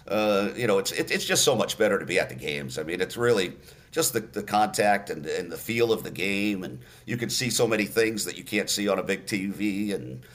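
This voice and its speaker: An older man with a somewhat low voice talks in a relaxed interview. He's a radio play-by-play announcer who tells stories at an easy pace, circling back with fillers and restarts. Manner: storytelling, many fillers and restarts.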